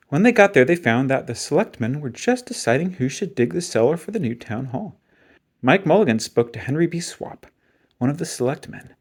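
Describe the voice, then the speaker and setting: A man reading a children's picture book aloud in an expressive storytelling style. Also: on the high side.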